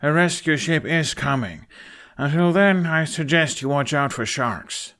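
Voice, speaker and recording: A man voicing an anxious, commanding young dragon rider in an audiobook, with short, sharp delivery. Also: fairly high pitch, lively intonation, measured pace, pitched above the performer's own voice. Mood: firm. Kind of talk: audiobook character acting.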